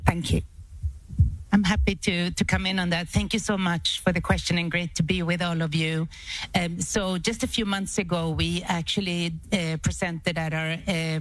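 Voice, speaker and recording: A woman's voice: lowish voice, dry recording, rough recording. A woman speaking as an executive on a panel, giving an organized but unscripted answer. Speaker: development bank executive on a panel.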